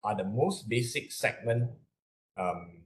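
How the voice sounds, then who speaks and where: A man with a Malaysian accent giving an explanatory webinar lecture, speaking haltingly and often repeating his words as he works through the material. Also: fairly low pitch, lively intonation, a little hiss or hum. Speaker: academic lecturer.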